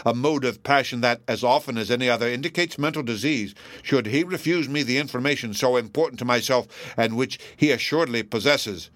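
A man voicing a pompous, menacing elderly judge in a classic novel audiobook, speaking in a formal, measured, self-righteous way. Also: mid-range voice, lively intonation, a bit bright, no echo, voice pushed up, natural voice. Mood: cold threat.

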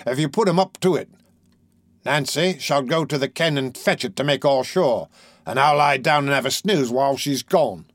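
A man voicing a gruff, brutal adult male burglar in a storybook reading, growling and surly. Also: medium pitch, moderate pace, no echo, natural voice.

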